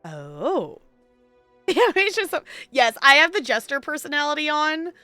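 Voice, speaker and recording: A woman streams a game and chats with her viewers in a loose, rambling way, laughing often. Her voice is bright and a touch high. Soft game music plays behind her. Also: wildly swooping pitch, a little slow, no echo. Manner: chatty and rambling, laughs easily, talks to chat. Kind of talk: casual live-stream chat while gaming.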